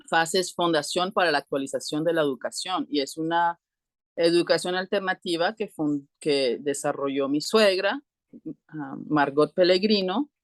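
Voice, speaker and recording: A middle-aged woman explaining things conversationally as an interview guest, halting and often clearing her throat. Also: fairly low pitch, dry recording. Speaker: interview guest, co-founder of an alternative school.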